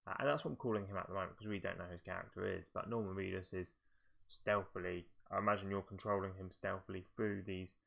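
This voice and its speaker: Low-voiced man with a creaky edge. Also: fairly even intonation, quick pace, fairly bright tone. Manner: hesitant, rambling, thinking aloud. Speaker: video game show presenter. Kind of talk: casual games commentary.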